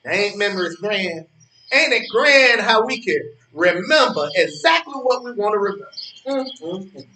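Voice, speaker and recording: A man's voice: sits high, very animated, moderate pace, pushed and bright, reverberant, heavily acted, low-quality recording. A man playing a smug old man in a stage play, needling his rival with mock-genteel, theatrical taunts. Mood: gloating.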